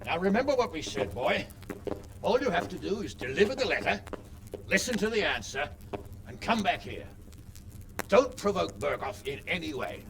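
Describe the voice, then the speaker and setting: A stern, sardonic older lord in a medieval game. His gravelly voice runs fairly high and swings very widely as he commands and questions. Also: very animated, moderate pace, long pauses, a bit bright, a little hiss or hum, full character voice, low-quality recording. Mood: commanding. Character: stern, sceptical older noble uncle. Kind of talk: video game cutscene acting.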